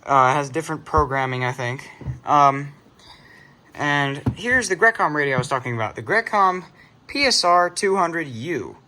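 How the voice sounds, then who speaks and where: Bright-voiced man, rambling casually. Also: on the high side, animated, normal speed, slight background noise, rough recording. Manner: rambling, trailing off and correcting himself. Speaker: hobbyist vlogger presenting a radio collection. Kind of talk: casual unscripted show-and-tell.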